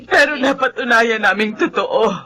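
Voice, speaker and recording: A woman's voice: on the high side, moderate pace, pushed and bright. An actress playing an anguished village widow, crying through her words in a melodramatic radio drama.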